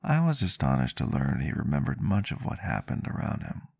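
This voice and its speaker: Man playing a low, gravelly vampire doctor. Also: huge pitch swings, darkish tone, full character voice. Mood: reminiscing. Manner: measured, reflective, old-fashioned phrasing. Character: male vampire doctor, ageless but youthful-seeming, reflective and gentle. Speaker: roleplay character telling a story. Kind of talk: ASMR roleplay storytelling.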